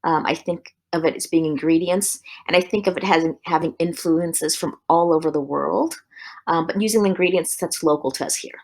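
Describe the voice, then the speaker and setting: A woman from Los Angeles talks about her work as a chef in a relaxed interview. Her voice sits a little low, and she circles her thoughts with plenty of fillers and restarts. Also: normal speed. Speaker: chef and restaurant owner, guest. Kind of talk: casual interview talk.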